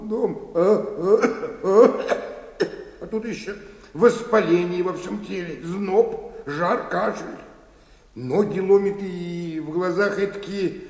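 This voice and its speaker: An actor playing a worn-out, hot-tempered old bank bookkeeper who grumbles and mutters to himself in a radio play. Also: male voice, high voice, takes it slowly, a little mellow. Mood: exhausted complaint. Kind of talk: theatrical radio play acting. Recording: echoey, reverberant studio hall.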